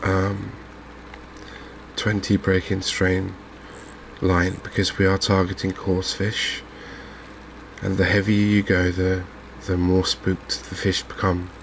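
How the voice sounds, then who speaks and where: Low, monotone, soft-spoken man. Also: slow, vocal fry, a little hiss or hum, poor audio. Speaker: gaming video host. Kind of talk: softly spoken ASMR game commentary.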